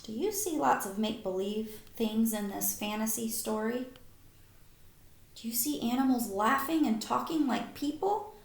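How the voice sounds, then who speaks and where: A woman teacher reading a picture book aloud to young children, expressive, with simple questions, in an echoey room. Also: fairly high pitch, measured pace, creaky. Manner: animated, simple child-directed phrasing.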